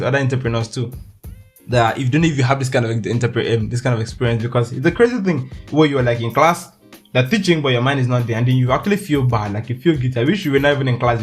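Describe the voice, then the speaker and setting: A young Nigerian man hosting a solo podcast, talking in a chatty way with frequent laughs and restarts. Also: mid-range voice. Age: young adult. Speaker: podcast host.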